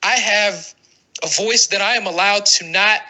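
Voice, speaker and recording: A man's voice: sits high, measured pace, sharp tone, low-quality recording. Man co-hosting a casual sports podcast. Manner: rambling, emphatic, repeats himself for effect. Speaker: podcast co-host. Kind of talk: casual fantasy basketball podcast banter.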